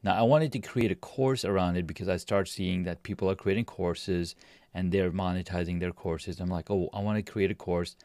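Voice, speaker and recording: A man with a deep, low voice tells his story as a podcast guest, relaxed and rambling, often restarting his sentences. He sounds close and dry, with no room around him. He is in his forties and comes from Pakistan. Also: normal speed, no echo. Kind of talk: casual interview talk.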